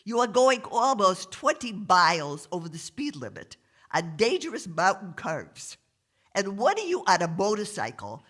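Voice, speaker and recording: Man doing a loud, cranky New York cop. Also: sits high, sing-song, normal speed, voice pushed up, full character voice. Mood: stern, confused. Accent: thick New York. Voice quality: congested, stuffed-up nose. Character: big, cranky male Brooklyn cop, loud and bullying.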